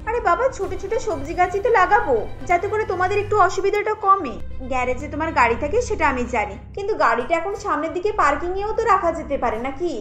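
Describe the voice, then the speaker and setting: A headstrong young cartoon wife, played by a woman, speaks in a high voice. She is insistent and quick to feel wronged. Light music plays underneath. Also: expressive, fairly bright tone. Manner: insistent, complaining. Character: headstrong, aggrieved young daughter-in-law, keen home gardener. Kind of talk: animated cartoon story acting.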